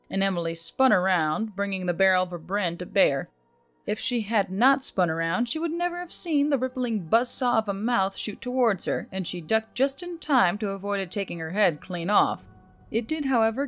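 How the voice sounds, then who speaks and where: Woman narrating fiction over music. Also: mid-range voice.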